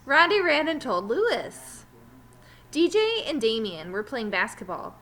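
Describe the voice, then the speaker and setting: A woman teacher reading a children's story aloud with animated, emphatic delivery. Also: on the high side, unhurried.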